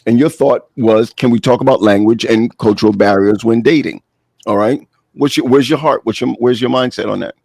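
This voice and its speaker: A man's voice: medium pitch, fairly quick, vocal fry, a little mellow, no echo. A man hosting an online conversation, chatty and affirming as he brings guests in. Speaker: host.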